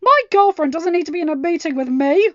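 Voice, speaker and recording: A woman voicing an angry, protective young king with a forceful, growling, emphatic delivery in an audio story. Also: very high-pitched, lively intonation, fast, no room sound, dull audio, voice pushed up. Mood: indignant. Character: angry protective teenage king, stern boyfriend.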